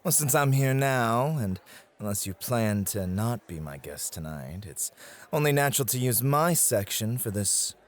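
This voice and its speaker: A man's voice: mid-range voice, expressive, unhurried, close to an everyday voice. A man playing a suave, possessive nightclub host in an ASMR roleplay, pointedly polite and sardonic as he guards his territory. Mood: smug, territorial.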